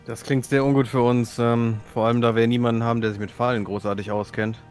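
A man's voice: mid-range voice, flat delivery, fast talker, darkish tone, faint music behind. A man chatting casually as a player in a tabletop role-playing game.

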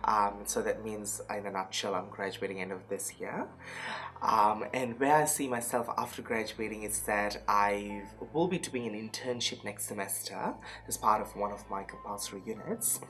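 A young man in his twenties from Malaysia with a Malaysian accent, speaking haltingly in a documentary interview, often searching for words. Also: fairly low pitch, fairly bright tone. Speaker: student interviewee. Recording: music bed under voice. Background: music.

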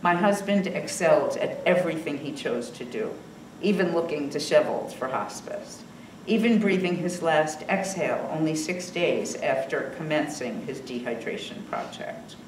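An older woman reading her own personal essay aloud at a podium, in a measured, reflective way. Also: sits low, a little mellow, a little hiss or hum. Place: small indoor salon with bookshelves and fireplace. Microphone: podium microphone on a stand. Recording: roomy sound from a distance.

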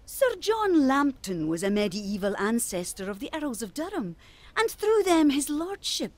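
An actress playing a haughty, cryptic island woman in an audio drama, measured, formal and coolly condescending. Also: high voice, sing-song.

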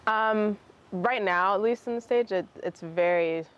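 A woman's voice: mid-range voice, a little slow, highs cut off. A young woman, a singer whose first language is Russian, answering interview questions casually outdoors, restarting her sentences and laughing between phrases. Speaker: guest singer. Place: outdoor terrace with garden.

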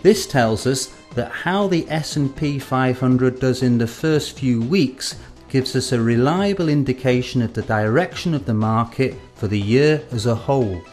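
A man presents scripted educational material in a medium-pitched voice. He explains in a measured way and lets phrase endings stretch out. Light guitar music plays underneath him. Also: a little mellow.

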